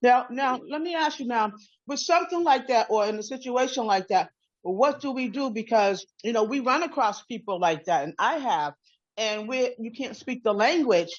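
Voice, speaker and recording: A woman's voice: on the high side, animated, moderate pace, highs cut off. A woman who works in a group home, speaking on an online call. She talks conversationally, asks questions and repeats words.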